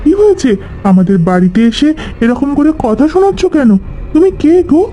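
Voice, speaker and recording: A man's voice: very high voice, very animated, normal speed, dark tone, faint music behind. A man voicing a firm, outspoken village woman in a cartoon, assertive and reproachful, in dull lo-fi sound. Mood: indignant, defiant. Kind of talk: cartoon dialogue.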